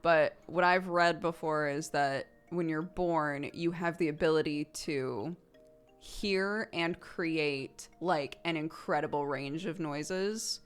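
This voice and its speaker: A woman's voice: low voice, slow pace, clear, resonant tone, faint music behind. A woman in her thirties, a streamer chatting casually and rambling while playing a video game, laughing often.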